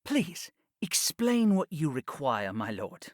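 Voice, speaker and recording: Proud scheming courtier in his fifties , played by a man: deferential but insistent, very varied pitch, audiobook drama. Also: huge pitch swings, slow pace, dry recording, studio quality, full character voice. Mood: shaken. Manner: formal, deferential yet insistent. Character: proud, pleading fifty-six-year-old nobleman schemer. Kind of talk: full-cast fantasy audiobook acting.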